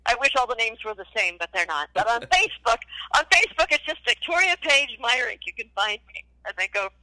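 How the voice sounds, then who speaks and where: A woman's voice: on the high side, expressive, fast talker, sharp tone, dry recording. An older woman chatting as an interview guest over a phone-like line, effusive and repeating phrases for emphasis. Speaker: interview guest, former child actress. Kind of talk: casual interview chat. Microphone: remote call.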